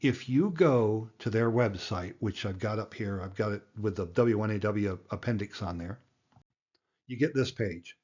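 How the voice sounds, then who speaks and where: A man's voice: on the low side, moderate pace, darkish tone, lo-fi sound. A man giving a plain-spoken, emphatic tutorial as an instructor, explaining things step by step. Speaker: amateur radio instructor and presenter.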